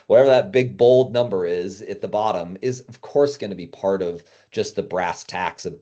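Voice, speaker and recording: A man's voice: sits low, mellow. A man speaking as a podcast guest, giving casual, conversational advice as a consultant. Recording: muffled, dull lo-fi audio.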